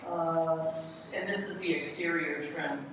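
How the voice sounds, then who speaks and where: A presenter explains parts one by one in a live hands-on demonstration. The voice sits at a middling pitch and rings heavily around a reverberant hall, with clear noise in the recording. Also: fairly even intonation, a little slow. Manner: explanatory, defining each part as it is shown. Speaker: presenter, window restorer. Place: large echoey room in a city hall. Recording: echoey hall, distant, noisy live event recording.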